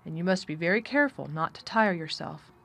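A woman's voice: fairly low pitch, lively intonation, brisk, gravelly, fairly bright tone, heavily acted. Woman reading as a nervous male country doctor. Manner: grave, anxious, formal.

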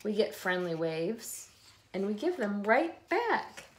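Middle-aged woman, mid-pitched voice, deliberate children's storytelling, home phone recording, some background noise. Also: big pitch swings. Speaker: read-aloud host. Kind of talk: children's picture book read-aloud. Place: indoors in a room at home.

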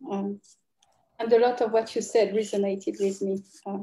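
A woman sharing personal reflections casually and haltingly on a group video call. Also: mid-range voice, level tone, dark tone. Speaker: participant in an online group conversation. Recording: roomy, echoey video call.

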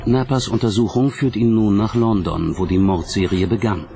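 A man narrates a documentary from a script. His voice sits on the low side, and music plays clearly behind him. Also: steady tone, normal speed.

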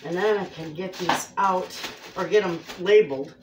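A woman's voice: lowish voice, normal speed. Chatty woman in an echoey kitchen. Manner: chatty, homey, addresses viewers as friends. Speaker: homestead cooking vlogger. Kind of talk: casual cooking chat to camera. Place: home kitchen, indoors. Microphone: far camera on a tripod. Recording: echoey kitchen from a distance, faint noise.

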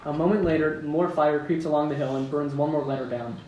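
A man with a slightly high voice reads out stage directions at an amateur table read, plainly and a little amused. He sits away from the mic in an echoey room, with faint noise behind him. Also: steady tone, fairly quick, a little mellow, muffled recording. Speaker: narrator reading stage directions. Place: room at home around a wooden table. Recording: roomy, distant mic with background noise.

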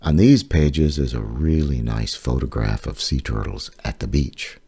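Very deep-voiced man reading slowly. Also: mellow, husky. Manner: measured, explanatory reading. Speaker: author reading own children's book. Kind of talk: read-aloud of a children's nonfiction book.

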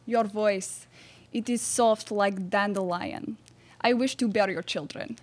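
Woman playing a slow, deadpan Russian bachelorette. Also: mid-range voice, close to an everyday voice. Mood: blunt, solemn. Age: young adult. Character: blunt, tough Russian bachelorette Ivanka. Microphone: stage microphone on stand.

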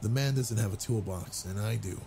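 A man playing a flirtatious, confident werewolf alpha with a husky, gravelly voice in an intimate roleplay audio drama, teasing and tender toward the listener. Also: fairly low pitch, moderate pace, dark tone, a little hiss or hum. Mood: playful, flirtatious.

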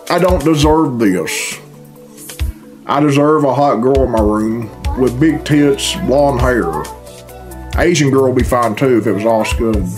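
A middle-aged man giving casual reaction commentary in a grumbling, sarcastic, foul-mouthed way. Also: medium pitch. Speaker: reaction video host. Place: small bedroom, indoors. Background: cartoon video with music playing.

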